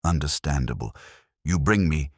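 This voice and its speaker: A man acts out a brusque, imperious detective genius from an audiobook mystery. He speaks in terse, formal pronouncements with a pompous edge, in a very deep register that swings widely in pitch. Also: expressive, moderate pace, a little mellow, dry recording, clean studio sound, exaggerated voice. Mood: skeptical.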